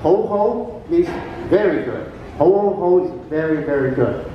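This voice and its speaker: Older man joking through a booming PA. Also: lively intonation, muffled recording, a little hiss or hum. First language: Cantonese. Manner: chatty joke-telling with frequent pauses and fillers. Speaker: talent show contestant. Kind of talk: stand-up comedy. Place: large atrium on a cruise ship. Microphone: handheld microphone through a PA, filmed from afar. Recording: booming PA echo from a distant camera.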